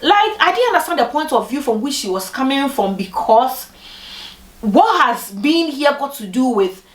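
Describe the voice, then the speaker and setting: A young woman from Ghana telling personal stories to camera in a lively way, acting out what other people said. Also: moderate pace. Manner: animated, acts out quoted people with big pitch jumps. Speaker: vlogger. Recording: echoey room, voice from a distance.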